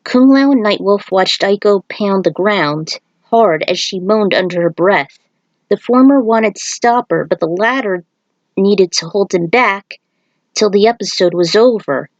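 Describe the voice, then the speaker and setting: A woman's voice: medium pitch, unhurried, darkish tone, no room sound, dull audio. A woman narrating a fan fiction story.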